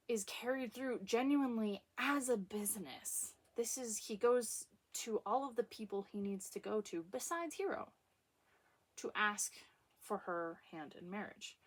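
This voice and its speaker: A woman giving casual, opinionated commentary on literature in an emphatic way, with dramatic pauses. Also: mid-range voice, fairly bright tone.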